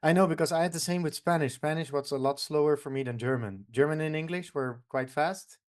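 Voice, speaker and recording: Fairly high-voiced man. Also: fairly quick. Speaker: language teacher, host. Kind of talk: casual chat.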